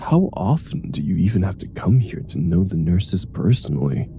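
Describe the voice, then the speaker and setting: Man acting a deep, weary, exasperated superhero. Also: expressive, normal speed, gravelly, dark tone, soft background music. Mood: irritated, dry. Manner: dry, weary sarcasm. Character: exasperated, grumpy male superhero stuck with his nemesis. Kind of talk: comedic audio roleplay acting.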